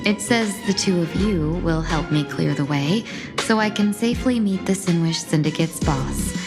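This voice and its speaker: A woman voicing a cool, blunt agent with a lowish voice. She speaks in a dry, direct way, with game music underneath. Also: normal speed, natural voice. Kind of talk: video game voice acting.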